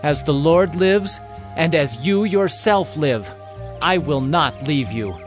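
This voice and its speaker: A man's voice: a little high, animated, slow pace. A man playing a solemn, devoted prophet of ancient Israel in a dramatized scripture production, declaiming with fervor and deliberation. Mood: resolute. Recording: background music under the voice.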